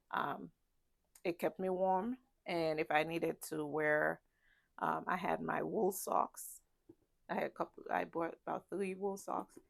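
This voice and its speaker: A woman sounding stuffy and congested, talking casually to camera in a fairly low voice with a gravelly edge. She rambles, with frequent ums and self-corrections. The sound is close and dry. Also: no room sound. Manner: chatty, rambling, many ums and restarts. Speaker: travel vlogger. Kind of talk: casual packing chat to camera. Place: ship cabin.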